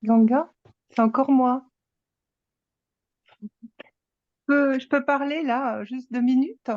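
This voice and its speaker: A woman, sharing her experience at a spiritual gathering, halting and emphatic, with many restarts. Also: high-pitched, fairly even intonation, no echo, dull audio. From Switzerland. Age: 60s. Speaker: satsang participant.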